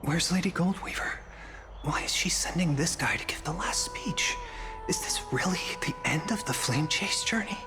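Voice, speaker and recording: A man's voice: a little high, lively intonation, moderate pace, in a whisper, natural voice. A man playing a sceptical citizen muttering doubtfully from a crowd in a video game. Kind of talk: scripted game voice acting. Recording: music behind the voice.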